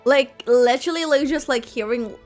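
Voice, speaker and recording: A woman's voice: high-pitched, normal speed, soft background music. A young woman giving casual, rambling live reaction commentary on a game, with lots of filler "like". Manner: rambling, heavy use of "like". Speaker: gaming reaction streamer.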